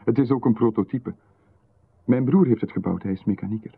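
A polite, insistent visitor who talks at length, his husky Flemish voice pitched at an everyday middle. It comes through a thin, phone-like band, like an old radio drama broadcast. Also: a little fast. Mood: smooth. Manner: polite, explaining at length with technical jargon. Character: smooth-talking male visitor, middle-aged, insistent and eerie.

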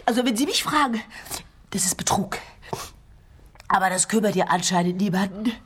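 Actress as very raspy, indignant nosy neighbour woman. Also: mid-range voice, moderate pace, natural voice. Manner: huffy, complaining. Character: nosy, indignant female neighbour, gossiping and scandalised. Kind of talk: radio play acting.

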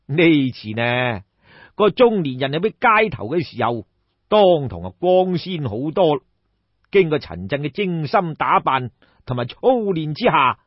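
A man with a Cantonese accent narrating in an animated traditional oral storytelling style. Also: on the high side. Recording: dull, roomy audiobook recording.

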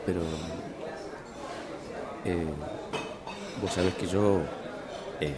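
A man's voice: deep voice, very slow. A man with an Argentine accent playing a distracted, evasive husband in an amateur radio drama. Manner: evasive, bumbling. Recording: lively crowd noise behind.

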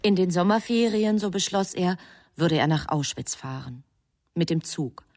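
An actress as a composed female storyteller, giving deliberate scripted narration in a literary radio play. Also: on the low side, expressive, a little mellow.

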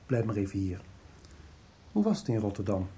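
An actor playing a subdued, quietly reproving middle-aged father in a radio soap. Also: on the low side, very animated, normal speed, a little hiss or hum. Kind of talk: 1990s Dutch radio soap acting.

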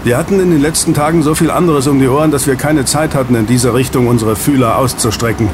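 An actor in an audio drama playing a friendly ex-agent who runs a snack bar, soothing and chatty. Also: male voice, medium pitch, quick pace, lightly acted. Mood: reassuring. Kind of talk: German audio drama dialogue. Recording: clear street and traffic ambience. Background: outdoor city street with vehicles.

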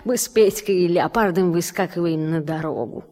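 A woman's voice: on the low side, moderate pace, dark tone, lightly acted. A woman narrating a dramatized radio story, recalling childhood adventures as the storyteller. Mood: storytelling. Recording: music behind the voice.

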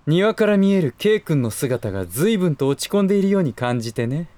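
An actor playing a scheming businessman in a Japanese voice drama, speaking politely and gently with teasing condescension. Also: a little high, sing-song, brisk, no echo. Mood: amused, provocative. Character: smooth, scheming adult male relative and businessman, teasing.

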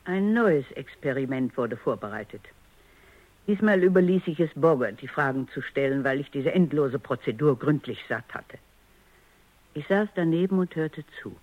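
An actress playing a probing, authoritative robot psychologist in a German radio drama, with a formal and pointed manner. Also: female voice, low-pitched, animated, moderate pace. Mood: composed, exacting. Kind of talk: German radio drama acting with first-person narration. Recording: dull, band-limited radio recording.

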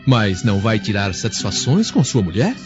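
An insinuating Roman villain sowing jealousy, theatrical and Brazilian-accented. The voice sits fairly low but leaps about as it taunts. It is a radio drama with a lo-fi, dull sound. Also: male voice, sing-song, normal speed. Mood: gloating. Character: scheming, mocking Roman man sowing jealousy. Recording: dull lo-fi audio with music.

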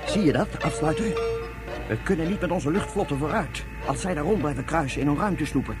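A man's voice: on the high side, animated, a little fast, over loud music, close to an everyday voice. A man playing a confident, scheming leader of a raft crew in a radio drama, bossy and gleeful. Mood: watchful.

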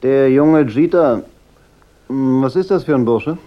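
An actor playing a cool, unflappable private detective with dry, wry retorts in a German radio crime drama. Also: male voice, medium pitch, unhurried, muffled recording, natural voice. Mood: wry, probing.

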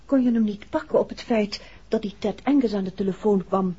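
Very husky, inquisitive detective's wife played by a woman. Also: mid-range voice, brisk, dark tone, natural voice. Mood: chatty, curious. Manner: informal, confiding. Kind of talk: 1960s Dutch radio play acting. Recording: muffled vintage 1960s radio recording.

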